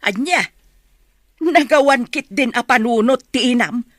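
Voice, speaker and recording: A woman's voice: a little high, measured pace, no room sound, studio quality. An actress playing an indignant, scolding old grandmother in a radio drama.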